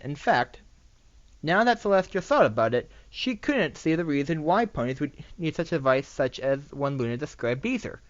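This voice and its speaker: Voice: medium pitch, dry recording. An amateur reader with a lisp reading a story aloud, stumbling over words and correcting as they go. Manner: halting, often misreading and correcting words. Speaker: amateur reader of fan fiction. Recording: dull lo-fi microphone recording.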